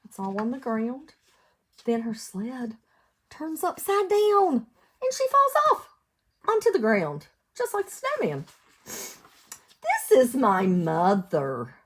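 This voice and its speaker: American woman, preschool read-aloud, slow pace, lively swooping pitch, sing-song teacher manner. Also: slightly rough. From United States, lived in West Virginia. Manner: expressive, sing-song, asking the children questions. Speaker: preschool teacher reading to children. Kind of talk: read-aloud storytelling for young children.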